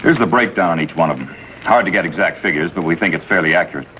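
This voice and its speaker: Male, deep American voice; brisk, factual juvenile officer, quick clipped delivery, vintage TV police drama. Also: bright, forceful tone. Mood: matter-of-fact briefing. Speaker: supporting actor. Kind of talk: 1950s TV police drama acting. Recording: faintly noisy vintage TV soundtrack.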